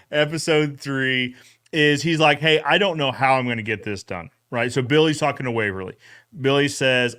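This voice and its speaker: Male podcast host, slightly high voice, casual recap talk. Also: a bit bright.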